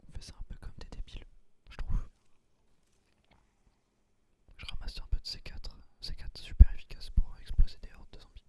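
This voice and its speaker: A man hosting a gaming video in a hushed, breathy whisper, ASMR style, close on a binaural microphone. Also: normal speed, long pauses.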